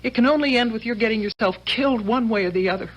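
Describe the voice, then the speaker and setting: An actress playing an earnest, insistent young sweetheart pleading in a wartime radio drama. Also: female voice, on the high side, fast, close to an everyday voice. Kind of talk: old-time radio drama acting. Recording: dull, faintly noisy radio recording.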